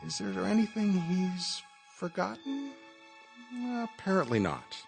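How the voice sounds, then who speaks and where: An actor playing a wry, mock-suspense host narrator in a radio play, speaking in an arch, dramatic, emphatic manner. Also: male voice, high-pitched, huge pitch swings, a little slow, gravelly, soft background music, heavily acted. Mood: dramatic, droll.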